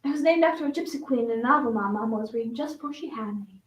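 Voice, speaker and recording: A woman's voice: on the high side, racing, lightly acted. A woman playing a flamboyant, wistful Southern belle flapper in a theatrical stage monologue. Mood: coy, teasing. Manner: dramatic, swinging from coy reminiscence to defiant outbursts. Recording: roomy echo, distant microphone.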